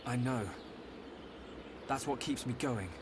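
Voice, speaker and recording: A man's voice: mid-range voice, takes it slowly, noisy background, natural voice. A man playing an earnest, determined young hero in a video game, with a British accent. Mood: reflective. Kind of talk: dramatic video game cutscene acting. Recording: loud music behind the dialogue.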